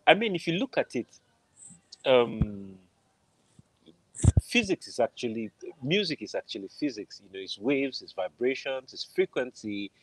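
A man's voice: mid-range voice, big pitch swings, unhurried, poor audio. A middle-aged man with a Nigerian accent, telling a personal story conversationally as an interview guest on a video call. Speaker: interview guest, film composer. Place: indoor room at home, video call.

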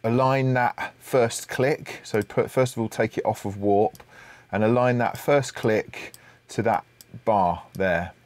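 A man's voice: lowish voice, takes it slowly, a little mellow. A middle-aged man presenting an unscripted audio production tutorial, thinking aloud in a somewhat hesitant way. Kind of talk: unscripted screen-share tutorial.

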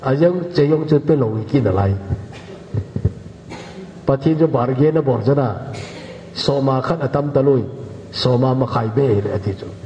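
An older man from northeast India preaching a measured, unhurried sermon as pastor in a church hall. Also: mid-range voice, expressive. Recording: echoey church hall, dull lo-fi sound, faint hiss.

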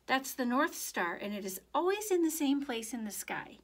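Woman reading as a gentle, reassuring dad. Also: sits high, big pitch swings, normal speed, pitched above the performer's own voice. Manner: soothing, explanatory. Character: gentle, reassuring young father, a soldier leaving home.

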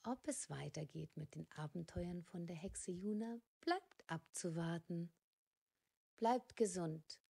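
A woman's voice: lowish voice, slow, vocal fry, dark tone, husky. A woman narrating a children's story in a scripted audio drama.

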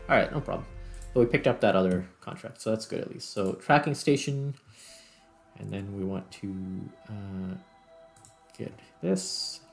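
A man with a fairly low voice hosts a gameplay video in a casual style. He thinks aloud and often corrects himself mid-thought. Faint music plays behind him. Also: creaky. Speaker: gaming video host.

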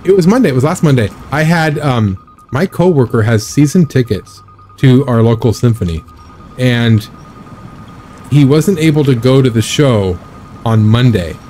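A man in his thirties chatting casually while live-streaming a driving game, rambling with a slightly raspy, scratchy throat. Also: medium pitch, lively intonation, normal speed, dark tone, soft background music. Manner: rambling, chatty, reads and answers chat.

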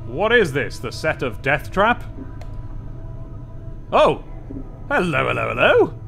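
A British man hosts a video game playthrough in a fairly high, very animated voice. He is playful and wry, moving between casual sardonic chat and theatrical reading aloud. Game music plays behind him. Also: slow pace, gravelly. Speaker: let's play host. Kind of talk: casual gameplay commentary with dramatic read-aloud.

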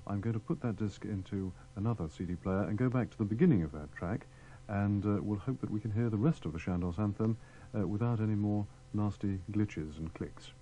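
A man presenting classical music on the radio in a measured, formal, politely apologetic manner. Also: on the low side, mellow, low-quality recording.

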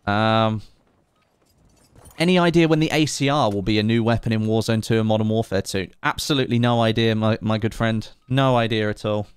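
A man's voice: lowish voice, a little fast, smooth, no echo. This is a man in his late twenties, streaming a game and chatting as he plays. His delivery is casual and rambling, and he breaks off to read out chat messages and make wry, sarcastic comments. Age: late 20s. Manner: chatty, reads chat aloud, sarcastic asides.

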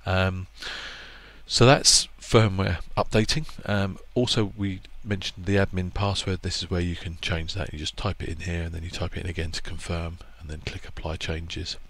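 Low-voiced man walking through software. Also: normal speed. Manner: relaxed, lots of ums and restarts, plainspoken. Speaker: product presenter for a marine electronics maker. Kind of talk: casual screen-recorded product walkthrough.

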